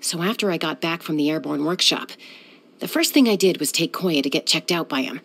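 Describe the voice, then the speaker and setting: Husky, low cool sky-hunter woman. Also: expressive, a little fast, a bit bright, exaggerated voice. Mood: composed. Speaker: game character. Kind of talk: video game voice lines.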